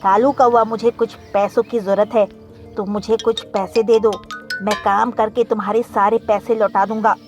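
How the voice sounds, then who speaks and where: A man's voice: sits high, flat delivery, normal speed, over music. A man playing a kind, humble, hardworking pigeon in a cartoon story, speaking politely and earnestly. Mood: pleading, earnest.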